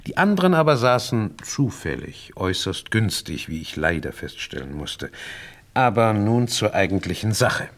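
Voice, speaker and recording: An actor playing a shrewd, courteous and pompous detective in a radio crime drama, sprinkling French phrases into deliberate speech. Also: male voice, fairly low pitch, very animated. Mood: explaining, assured. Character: shrewd, theatrical Francophone male detective, self-assured and courteous.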